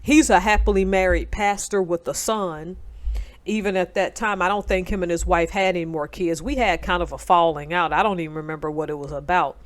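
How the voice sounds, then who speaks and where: A woman's voice: lowish voice, fairly even intonation, fairly quick. A woman, giving a casual, emphatic commentary monologue. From North Alabama, United States. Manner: emphatic, conversational, digressive. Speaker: commentator, military veteran.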